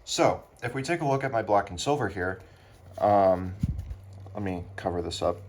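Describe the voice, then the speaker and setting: A man giving casual, unscripted commentary as a hobbyist doing an unboxing, speaking haltingly with pauses. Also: fairly low pitch, lively intonation, darkish tone. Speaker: hobbyist unboxing weather radios. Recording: clear background noise, handling clicks.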